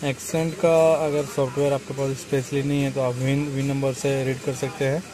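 A man's voice: medium pitch, level tone, moderate pace, noisy background. Man explaining car diagnostics while working. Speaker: car mechanic. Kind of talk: hands-on repair tutorial. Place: inside a car and at the open engine bay, outdoors.

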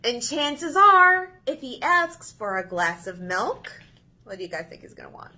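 A woman in her thirties leading a children's story time, reading aloud in an animated, sing-song way to young listeners. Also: a little high, a little slow. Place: small indoor room.

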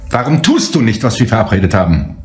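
An actor playing a cold, menacing older criminal patriarch, questioning in a controlled way in a crime drama. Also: male voice, on the low side, sing-song, fast, faint music behind. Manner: controlled, interrogating. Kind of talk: German crime drama acting. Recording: echoey room, faint background noise.

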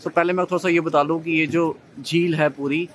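A man's voice: fairly high pitch, fairly even intonation, moderate pace, fairly bright tone. A man in his forties from Jammu, vlogging on a day trip, chatty and explaining to his viewers as he films himself from arm's length with a phone, first in a car and then outdoors by a lake. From Jammu, India. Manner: chatty, addresses viewers as friends, explains as he goes. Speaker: travel vlogger. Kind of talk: casual travel vlog narration. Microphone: phone camera at arm's length. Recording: faint outdoor and road noise.

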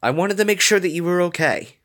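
A man voicing an earnest, concerned young man in a dramatic story, calm but easily exasperated. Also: sits high, fairly quick, voice pushed up. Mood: concerned, awkward.

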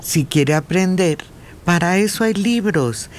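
A man co-hosting a radio show who reads listener messages aloud in a measured way. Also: high voice, animated, slight background noise. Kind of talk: reading messages on air.

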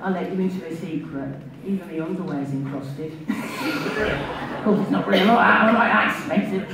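Brief: man in drag as a brassy English panto dame, high put-on voice, cheeky audience banter, echoey hall heard from the back. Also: normal speed, creaky, heavy background noise. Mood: bawdy teasing. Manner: cheeky, bantering, leading audience call-and-response. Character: brassy bawdy middle-aged pantomime dame, widowed baker. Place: community hall stage with live audience. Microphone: filmed by a phone from the audience. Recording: echoey hall recorded from far off with crowd.

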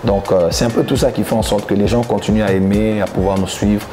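Low-voiced man, music behind. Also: fairly even intonation. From Democratic Republic of the Congo. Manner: smiling, gesturing with both hands, thoughtful pauses with many "euh". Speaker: preacher interviewee. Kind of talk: relaxed interview answers to camera. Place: plain grey studio backdrop, indoors. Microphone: lapel mic. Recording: background music under the voice.

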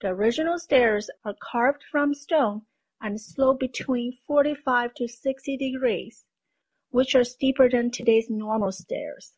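A woman narrates a scripted documentary with a fairly high voice. The tone is slightly husky. She explains in a measured way, as a presenter. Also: darkish tone, rough recording.

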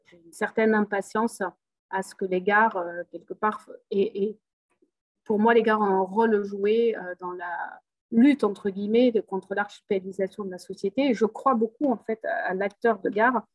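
A woman's voice: mid-range voice, fairly even intonation, moderate pace, no echo. A middle-aged woman with a southern French accent, speaking on a video call at a webinar, full of hesitations and repeated words but earnest and upbeat. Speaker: rail company executive speaking at a webinar. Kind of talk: spontaneous webinar speech.

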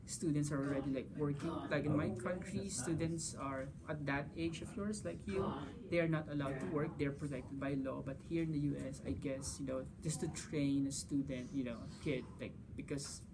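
A man's voice: a little high, moderate pace, hardly any pauses, crowd noise. A man in his thirties from the Philippines, answering interview questions casually as a physics teacher, often saying "you know" and "like". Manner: gestures while talking, frequent "you know" and "like". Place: school conference room. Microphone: camera across the table.

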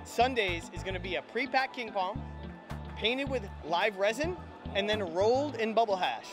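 A man in his thirties giving an energetic, fluent product pitch as an interviewee at a convention booth. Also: normal speed, over music. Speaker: cannabis company representative, interviewee. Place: indoor convention hall booth. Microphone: handheld microphone held by interviewer.

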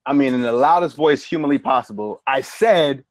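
A middle-aged man, a barber guest, chatting casually on a video call and telling stories with lively acted-out quotes. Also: medium pitch, a little fast, no echo. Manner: animated storyteller, acts out quoted lines. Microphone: video call mic.

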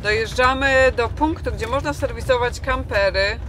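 A woman's voice: a little high, normal speed. A woman chatting casually as a travel vlogger, rambling along in colloquial speech. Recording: loud vehicle and outdoor noise.

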